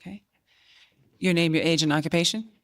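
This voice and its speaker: A woman's voice: on the low side, brisk, a bit bright. A woman hosting a dating show, upbeat and encouraging as she runs through her questions.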